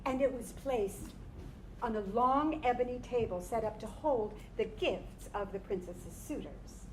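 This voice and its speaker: Woman storyteller, highish voice, roomy sound. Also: measured pace, a little hiss or hum. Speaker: narrator in a staged reading. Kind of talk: dramatized literary storytelling. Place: live staged reading in a room.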